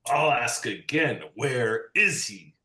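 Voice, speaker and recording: A man plays a brutal agent in a comedy script, interrogating a captive. The voice is very hard and forceful, and the clipped threats come slowly. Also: medium pitch, lively intonation, heavily acted. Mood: cold menace.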